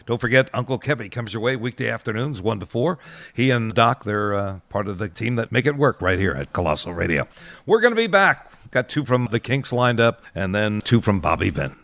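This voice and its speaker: A man's voice: medium pitch, very animated, brisk, gravelly, a bit bright, dry recording. A middle-aged man presenting an oldies radio show as its DJ, chatting casually between songs with nostalgic asides. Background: oldies music.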